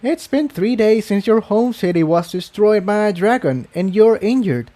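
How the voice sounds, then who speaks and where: A man's voice: high-pitched, animated, moderate pace, dark tone, voice pushed up. A man voicing a sardonic, exasperated female winged pony in a fantasy story reading, dry and incredulous.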